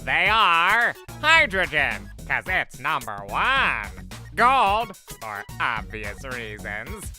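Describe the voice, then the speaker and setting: Woman acting a piercingly bright, eccentric male science teacher. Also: low voice, sing-song, very slow, soft background music, exaggerated voice. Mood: eager, pedantic. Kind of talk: cartoon comic dub.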